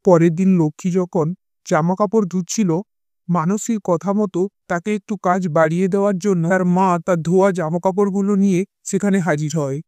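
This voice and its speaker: A man's voice: high-pitched, steady tone, brisk, a little mellow, dry recording. A man narrating a scripted cartoon story as its storyteller.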